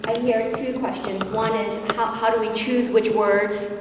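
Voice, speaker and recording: A woman with a slightly high voice leads a workshop, calm and attentive. The mic is far off in a very echoey hall, with some faint noise. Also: measured pace. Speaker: workshop presenter. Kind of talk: running a parent workshop.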